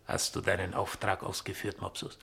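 An actor playing a suspicious, miserly master and father in a German radio play, commanding and brooding, with a gravelly, husky voice. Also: sits low, completely flat delivery, normal speed, sharp tone, heavily acted. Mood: hushed, brooding. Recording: vintage 1960 radio studio recording.

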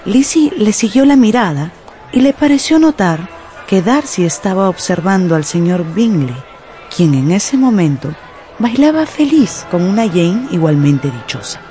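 A woman's voice: mid-range voice, big pitch swings, unhurried, dark tone, soft background music. A woman narrating a radio drama as a scripted storyteller.

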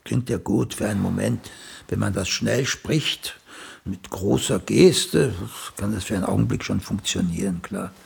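An actor playing a condescending older celebrated playwright in a radio play, with a hesitant, theatrical, patronizing delivery. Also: male voice, on the low side, normal speed, darkish tone, exaggerated voice. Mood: sardonic. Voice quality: gravelly, husky. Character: condescending older male celebrated playwright, mentor to younger writer.

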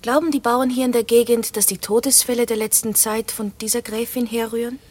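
An actress playing a young, dutiful, inquisitive daughter in a radio drama, speaking earnestly with a breathy, slightly husky voice. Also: female voice, on the high side, flat delivery, fairly quick, a little mellow, close to an everyday voice. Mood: inquisitive, uneasy. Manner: earnest, questioning.

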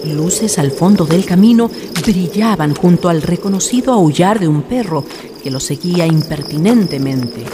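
A man's voice: a little high, expressive, normal speed, background noise. A man narrating a scripted horror radio drama in a solemn, dramatic manner. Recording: radio play with music underneath. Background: music.

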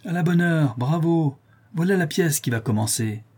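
A man's voice: a little high, expressive, moderate pace, darkish tone, slightly rough, pitched above the performer's own voice. A man voicing a mocking, gleeful young street boy who taunts and jeers like a theatre heckler in a storybook reading.

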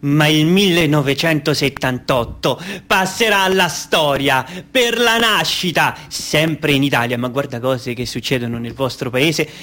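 A man's voice: on the high side, measured pace, hardly any pauses, bright, forceful tone. A man playing a bossy, sardonic foreign narrator in a radio play, declaiming like a showman. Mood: wry, theatrical. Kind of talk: dramatic radio theatre monologue.

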